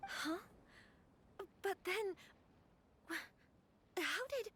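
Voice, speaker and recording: A woman's voice: very high voice, lively intonation, very slow, pushed and bright, a little hiss or hum, full character voice. An actress playing a polite, hesitant young noblewoman unsure of herself, in a scripted video game dub. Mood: surprised.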